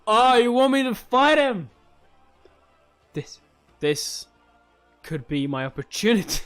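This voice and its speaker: A man's voice: very high-pitched, sing-song, slow pace, a bit bright, smooth, soft background music, voice pushed up, full character voice. A man voicing an earnest, pompous young sect swordsman with heroic declamation in a webcomic read-along. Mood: eager, righteous.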